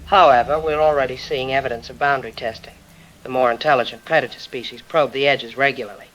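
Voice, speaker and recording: A man's voice: medium pitch, steady tone, quick pace, a bit bright, muffled recording, lightly acted. A man playing a serious scientist giving a clinical, precise briefing in an audio drama. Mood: clinical, warning. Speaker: scientist, expert guest.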